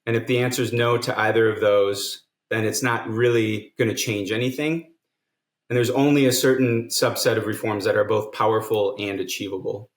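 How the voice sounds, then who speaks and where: A man's voice: lowish voice, fairly even intonation, moderate pace. A man, a guest on a podcast interview, explaining things earnestly and step by step. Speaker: guest, nonprofit co-founder. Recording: roomy echo.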